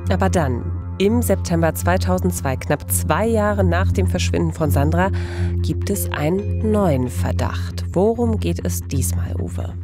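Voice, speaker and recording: A woman's voice: fairly low pitch, expressive, a little slow, music in the background. A middle-aged woman hosting a true-crime podcast in a conversational, engaged and emphatic way.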